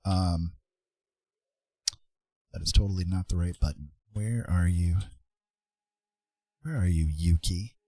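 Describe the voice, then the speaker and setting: A man chatting casually on an art livestream, thinking aloud in a rambling, halting way as he works. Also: deep voice, mellow, no echo. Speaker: livestream host, digital artist. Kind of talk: casual chat while drawing on a livestream. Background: background music.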